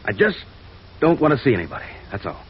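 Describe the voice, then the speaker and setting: Defensive, swooping jailed embezzler in radio noir. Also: male voice, mid-range voice, huge pitch swings. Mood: sullen, defensive. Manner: defensive, agitated, halting and repeating words. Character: defensive, bitter jailed male embezzler, college-educated, stubborn. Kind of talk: 1950s radio noir acting. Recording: muffled, noisy old radio recording.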